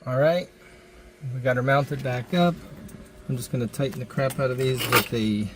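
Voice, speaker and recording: A man's voice: medium pitch, fairly even intonation, normal speed, heavy background noise. A man hosting a DIY repair video, talking through the hands-on work in a casual, folksy, informal way.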